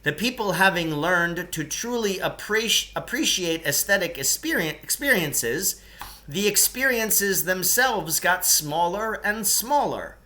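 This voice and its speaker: A man reading a children's novel aloud as a narrator, with expressive, emphatic delivery. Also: high voice, a little slow. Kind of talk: storybook read-aloud narration.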